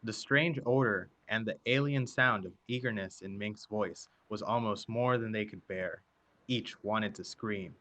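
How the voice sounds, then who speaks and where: A man narrating a scripted radio drama with suspenseful, deliberate phrasing. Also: on the low side, background noise.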